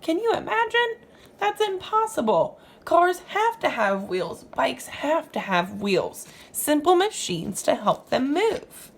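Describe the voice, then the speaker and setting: A woman's voice: sits high, big pitch swings, slow, gravelly, slight background noise. A woman reading aloud to young children as a teacher would, explaining as she goes and putting questions to the listener. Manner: instructive, asks the listener questions.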